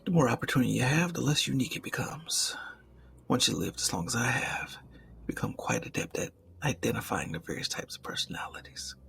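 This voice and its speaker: A man playing an ancient, suave and jaded male demon in an ASMR roleplay, speaking in formal, theatrical, half-whispered phrases with a breathy, gravelly voice. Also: medium pitch, very animated, brisk, a bit bright, heavily acted. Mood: jaded, confiding.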